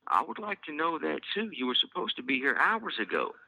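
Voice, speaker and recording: A man voicing a young mother, soft and measured with a stern edge. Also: a little high, sing-song, gravelly, dry recording, highs cut off, voice pushed up, exaggerated voice. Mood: patient.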